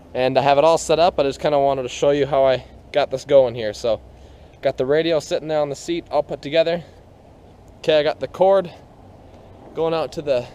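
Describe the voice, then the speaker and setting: A man giving a casual hands-on product demonstration, explaining informally and haltingly, step by step. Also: fairly high pitch, darkish tone, clear, resonant tone. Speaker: product reviewer.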